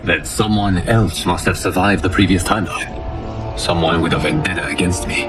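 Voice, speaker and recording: A man playing a solemn godly guardian in a video game, speaking formally and with measured authority. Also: deep voice, natural voice. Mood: grave concern. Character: solemn godly male protector of the realm. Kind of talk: cinematic video game acting. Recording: loud music behind the voice.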